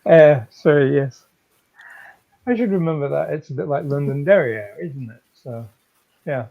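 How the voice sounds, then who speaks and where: A man's voice: a little high, expressive, moderate pace, vocal fry. A muffled, slightly lo-fi recording of a man co-hosting a book chat. He talks casually and wanders a little, with a wry turn to his speech. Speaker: co-host of a live book-reading show. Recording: muffled, band-limited sound.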